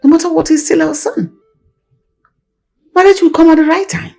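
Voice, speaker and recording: An actress playing a patient, pleading middle-aged queen in a film drama, soothing and reasoning in a husky voice. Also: very high voice, steady tone, moderate pace, mellow, faint music behind.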